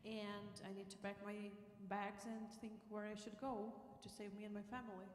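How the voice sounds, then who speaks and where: Ukrainian woman, halting and echoey. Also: mid-range voice, little pitch movement, slight background noise. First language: Russian. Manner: halting, many fillers and restarts. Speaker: interview guest. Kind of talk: church testimony interview.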